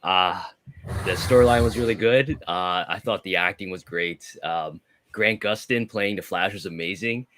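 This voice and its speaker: A man's voice: low-pitched, moderate pace, fairly bright tone, a little hiss or hum. A man sharing opinions as a panelist in a casual movie discussion. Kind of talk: casual chat, giving opinions.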